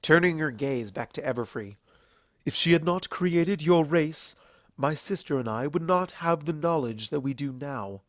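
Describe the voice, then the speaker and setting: A man voicing an ancient female pony princess in a storybook reading, speaking formally and with measured, earnest eloquence. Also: a little high, no echo, clean studio sound, natural voice. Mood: solemn, earnest. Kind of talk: fan fiction audiobook character acting.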